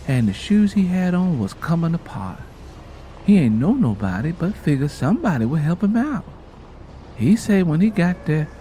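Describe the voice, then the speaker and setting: A man's voice: on the high side, mellow, a little hiss or hum. A man voicing a frank, world-wise mother from the American South, telling a family tale in a folksy, plainspoken way.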